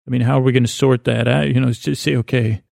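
Male podcast host, very gravelly fry, dry close sound, halting and mumbly, whimsical self-deprecating bedtime rambles. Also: medium pitch, little pitch movement, a little mellow, no echo. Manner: meandering, halting, stammering and repeating words.

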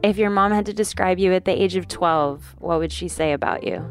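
A woman's voice: lowish voice, a little fast, soft background music. A woman co-hosting a podcast, narrating and asking interview questions in a friendly, conversational way.

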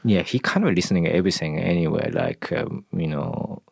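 A man from Japan with a Japanese accent, speaking as an interview guest in a halting way, with lots of "like" and "you know". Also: deep voice, gravelly, a little mellow, husky, dry recording, studio quality. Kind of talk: casual interview chat.

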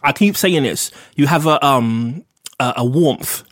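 A man's voice: mid-range voice, expressive, measured pace. A man co-hosting a podcast in casual, teasing chat, laughing often. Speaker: podcast co-host.

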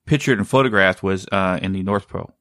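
Low-voiced guy rambling casually, keeps hunting for words, dry close sound. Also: fairly quick, fairly bright tone, no room sound. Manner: rambling, often searching for words. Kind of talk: casual chat.

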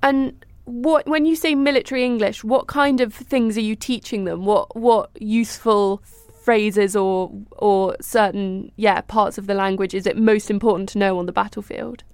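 A woman journalist interviewing a guest with brisk, clear questions. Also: medium pitch, fairly even intonation, a little mellow, dry recording.

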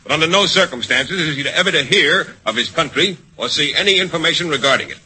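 A stern military official in an old radio drama. His voice is piercing, higher than average and rough-edged, with a clipped, official delivery. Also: animated, quick pace, bright, forceful tone, exaggerated voice, rough recording. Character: stern, brisk military official dictating formal orders. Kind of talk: old-time radio acting.

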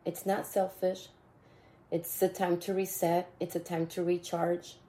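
A raspy-voiced woman hosts her podcast in an unhurried, easygoing way. Her voice sits on the low side, and she often repeats a phrase as she coaches her listeners. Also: little pitch movement. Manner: warm mentoring tone, repeats phrases, bursts of laughter. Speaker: podcast host. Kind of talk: casual motivational podcast talk.

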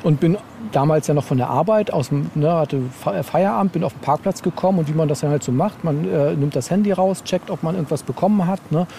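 A man talks in a mellow, slightly higher voice, as a guest in a casual interview. He rambles along and keeps checking in with short tag words. Faint ambient noise sits behind him. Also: fast talker, vocal fry, hardly any pauses. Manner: rambling, tags phrases with "ne". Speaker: interviewed novelist. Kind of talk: casual podcast interview chat.

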